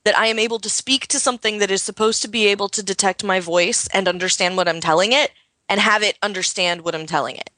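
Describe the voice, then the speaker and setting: A woman's voice: medium pitch, quick pace, bright, forceful tone, dry recording. An American woman co-hosting a casual tech podcast, explaining her views at length. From United States. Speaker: podcast co-host.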